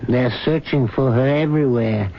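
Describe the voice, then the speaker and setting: An actor playing a tipsy, sly servant who calls himself a fool, wheedling and rambling wryly in a radio drama. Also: male voice, mid-range voice, normal speed, slight background noise, lightly acted. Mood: sly, teasing. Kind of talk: 1970s radio drama comic acting. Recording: muffled 1970s radio recording.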